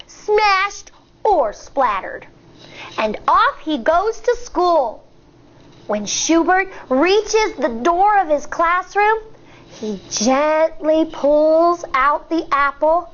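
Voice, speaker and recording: A woman in her thirties, a teacher, reading a picture book aloud to children in an expressive storyteller manner, recorded in a studio on a lapel mic. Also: high-pitched, lo-fi sound. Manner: expressive, sing-song, storyteller. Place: indoor studio in front of a backdrop.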